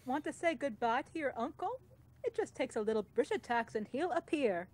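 A woman's voice: high voice, animated, a little fast, a little mellow. A woman doing a live comic dub, reading out the script as a voice actor.

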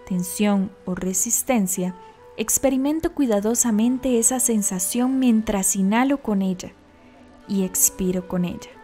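A woman narrating a scripted guided meditation in a calm, deliberate, instructional manner. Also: mid-range voice.

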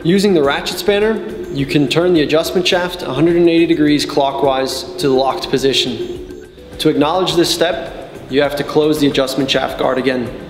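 A man in his twenties giving scripted instructional narration as a technical trainer, explaining each step in a measured way. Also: fairly high pitch. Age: 20s. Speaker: technical support and customer trainer. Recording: echoey, reverberant sound with background music. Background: background music.

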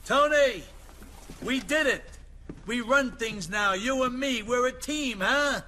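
High-pitched, volatile old mafia don. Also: male voice, slow, bright, forceful tone, slight background noise, full character voice. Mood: elated, effusive. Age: older. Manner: effusive, streetwise, swinging from gushing to menace. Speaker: mafia boss. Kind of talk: video game cutscene acting.

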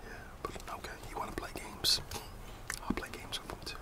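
A man's voice: brisk, recorded from a distance. A middle-aged American man whispering a casual, grumbling ASMR roleplay as a gossiping office employee, in a conspiratorial manner.

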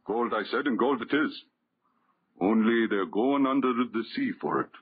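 An actor playing a salty, cocky sailor tipster in a 1930s radio drama, sly and goading, with a rough husky voice. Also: male voice, mid-range voice, normal speed, natural voice. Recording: tinny, narrow 1930s radio recording.

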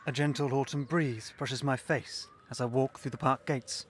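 An older man narrating his wartime childhood memories in a calm, reminiscent way. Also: medium pitch, moderate pace, a little hiss or hum, natural voice. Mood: reflective. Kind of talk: reflective narration in an audio play.

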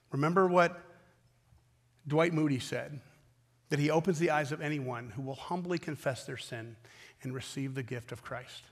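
An American man in his fifties preaching a sermon as a pastor, earnest and emphatic, with deliberate pauses and repetition. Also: mid-range voice, long reverb tail. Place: large indoor church auditorium stage at a wooden pulpit.

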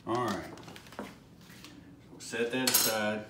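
A man's voice: on the low side, drawn-out words. A man talking casually through his cooking in short folksy remarks, as a home video host. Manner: folksy, muttering step-by-step remarks. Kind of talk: casual cooking vlog, talking while working. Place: home kitchen, indoors. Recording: noisy room, loud foil crinkling. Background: loud crinkling foil.

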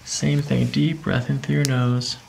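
A man's voice: medium pitch, flat delivery, measured pace, vocal fry, a little mellow, rough recording. A middle-aged man working as a chiropractor, giving a patient short, soothing step-by-step instructions during hands-on treatment. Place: small treatment office, indoors. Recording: faint noise behind voice.

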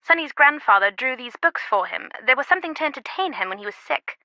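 Casting: female game character, loyal secretive confidante, earnest and measured, fairly high crisp bright voice, dry close sound. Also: expressive, dry recording, poor audio. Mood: calm, informative. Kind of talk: video game voice acting.

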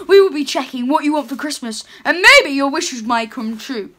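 Young girl putting on a jolly father christmas, bright high voice, ho ho ho, reading a kids book at home. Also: animated, normal speed. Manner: lowered playful voice, chuckling ho ho ho. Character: jolly male Father Christmas, chatty storyteller. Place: home room with curtains.

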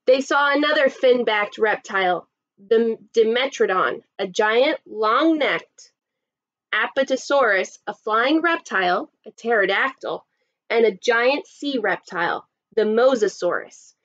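A woman's voice: fairly high pitch, expressive, a little slow, a bit bright, lo-fi sound. A woman in her thirties, a kindergarten teacher, reading a storybook expressively to young children. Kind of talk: read-aloud storybook narration for young children. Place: small indoor room set up as a classroom.

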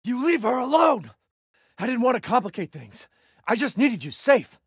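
A desperate, devoted father in a dramatic video game. His voice is raised high and swings widely in pitch, with a rough, gravelly edge and raw emotion. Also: very animated, moderate pace, full character voice. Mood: urgent, desperate. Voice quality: raspy, gravelly. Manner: intense, emotional. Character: desperate, protective father guarding his grown daughter.

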